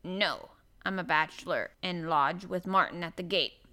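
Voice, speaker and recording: A woman's voice: fairly low pitch, normal speed, pushed and bright. A woman voicing a grumpy old male gardener with a Yorkshire dialect and a grumbling manner, in an audiobook. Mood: gruff, plain. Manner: grumbling, grunting, dialect speech. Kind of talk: audiobook character voice.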